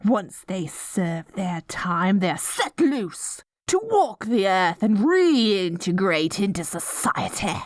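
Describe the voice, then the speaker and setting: A woman's voice: mid-range voice, big pitch swings, slow pace, hardly any pauses, dry recording, clean studio sound. A woman playing a dorky, melodramatic young evil queen in an audio roleplay, stammering when flustered and grandiose in her villainy. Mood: gleefully scheming. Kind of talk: scripted ASMR audio roleplay.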